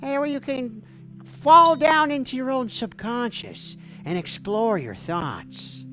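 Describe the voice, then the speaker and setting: A woman hosting a livestream call-in show as a mock therapist, dreamy, theatrical and goofy, with a gravelly voice. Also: on the high side, animated, takes it slowly, bright, forceful tone. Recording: music playing behind the voice. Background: background music.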